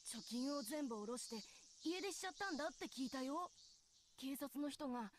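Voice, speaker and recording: Gossipy young classmate in a visual novel, high voice, very quick delivery. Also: female voice, lively intonation, noisy background. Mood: gossipy, hushed. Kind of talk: Japanese visual novel voice acting.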